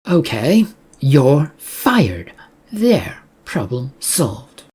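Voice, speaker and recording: A reader of unclear pitch voicing an imperious, angry female chief executive in an audiobook story, curt and commanding. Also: big pitch swings, very slow, a little mellow, husky, heavily acted. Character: imperious, angry female CEO, evasive and threatening.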